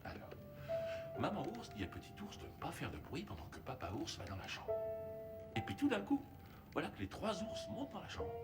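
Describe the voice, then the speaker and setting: A man's voice: a little high, huge pitch swings, fairly quick. An actor playing a father, talking tenderly and playfully to his small child. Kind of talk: film drama dub. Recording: film soundtrack with loud music.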